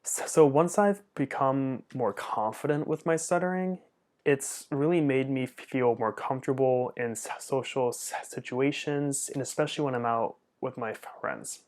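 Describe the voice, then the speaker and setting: A man's voice: medium pitch, moderate pace, a little mellow. A young man who stutters noticeably, with repeated first sounds, answering viewer questions about stuttering in a casual monologue.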